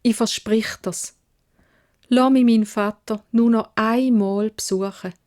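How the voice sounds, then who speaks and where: A woman's voice: on the high side, fairly even intonation, unhurried, a little mellow, pitched above the performer's own voice, close to an everyday voice. A woman voicing a kind, devoted young daughter in a Swiss German fairy tale, earnest and tender. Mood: earnest promise.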